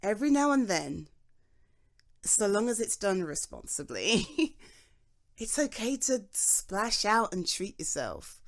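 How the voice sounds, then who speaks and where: A young woman with a British accent chats softly in an ASMR roleplay, reassuring a friend who feels guilty about treating themselves. Her voice moves lively up and down, and small laughs come often between her sentences. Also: mid-range voice, takes it slowly. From Britain. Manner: chatty and reassuring, with frequent soft laughs.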